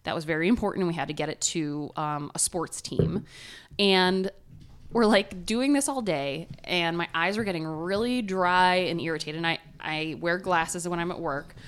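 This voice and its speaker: A woman's voice: fairly low pitch, moderate pace, clear, resonant tone. A woman with a nasal voice, co-hosting a podcast and telling a story in casual chat, laughing often. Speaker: podcast co-host.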